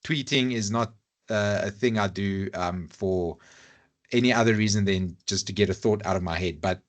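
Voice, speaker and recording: Self-deprecating Afrikaans-accented man, low voice. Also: normal speed. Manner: candid, self-deprecating, hesitant. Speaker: podcast guest, rugby YouTuber. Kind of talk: casual podcast chat. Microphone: lavalier mic.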